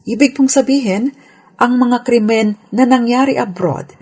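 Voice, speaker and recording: A woman's voice: fairly high pitch, unhurried, darkish tone, breathy edge. A Filipino woman, a lawyer on radio, giving a scripted legal explainer in a formal, polite, explanatory manner.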